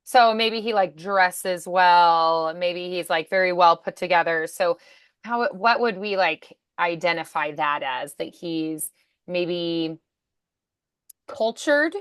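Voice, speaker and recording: A woman's voice: on the low side, takes it slowly, fairly bright tone, clean tone, dry recording, studio quality. A woman working as a voice acting coach, encouraging and affirming as she talks a student through questions one-on-one.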